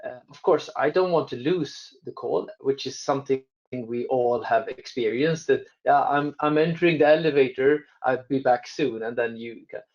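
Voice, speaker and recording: A man's voice: medium pitch, normal speed, few pauses, a little mellow, dull audio. A man from Sweden with a Swedish accent, a telecom guest answering interview questions with frequent restarts and fillers. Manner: hesitant, many restarts and fillers.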